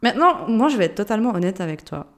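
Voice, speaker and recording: A woman's voice: mid-range voice, sing-song, fast. Swiss woman with a Jura accent. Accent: Swiss French, Jura. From Switzerland. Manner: chatty, addresses listener directly, informal. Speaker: podcast host and mindset coach. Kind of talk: solo podcast monologue.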